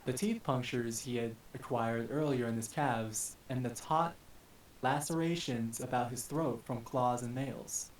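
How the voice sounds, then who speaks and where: Guy reading a dramatic story out loud, deliberate and literary, roomy echo, faint hiss. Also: medium pitch. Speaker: narrator. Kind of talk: dramatic narration of an action story. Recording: echoey, distant mic with hiss.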